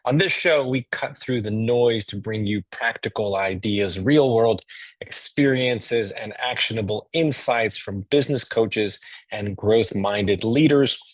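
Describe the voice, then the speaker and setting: A man's voice: on the low side, measured pace, dry recording. A man hosting a business podcast, reading an upbeat intro and then asking questions with a few fillers. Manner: upbeat, many fillers and restarts when asking. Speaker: podcast host. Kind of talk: scripted intro then interview.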